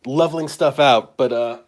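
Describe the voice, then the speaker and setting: A middle-aged man, hoarse and raspy from a cold, clearing his throat as he chats casually with fillers while drawing at his table. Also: on the high side. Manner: rambling, friendly, halting with fillers. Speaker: comic artist and live-stream host. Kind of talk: casual live-stream chat. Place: home studio at a drawing table.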